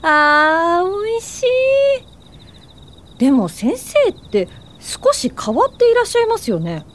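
An actress playing a cheerful, curious young college student in a radio drama, eager and forthright but polite. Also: female voice, very high-pitched, very animated, takes it slowly, full character voice. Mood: excited, playful. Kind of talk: Japanese radio drama acting.

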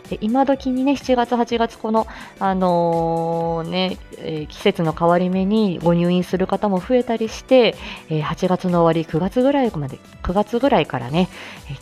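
A woman's voice: on the low side, darkish tone. A woman hosting a casual live stream, chatting with listeners in a polite but informal way and often chuckling as she talks. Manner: chatty, polite announcements mixed with casual asides. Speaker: live audio stream host and speech-language therapist. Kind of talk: casual morning live chat, answering listener comments. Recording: faint music behind the voice. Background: faint background music.

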